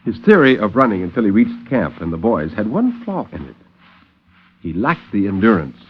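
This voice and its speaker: Male narrator for vintage 1950s radio horror; grave, theatrical, widely varied pitch, slightly dull old recording. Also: sing-song, slight background noise. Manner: measured, grave, theatrical storytelling. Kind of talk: dramatic 1950s radio horror story reading.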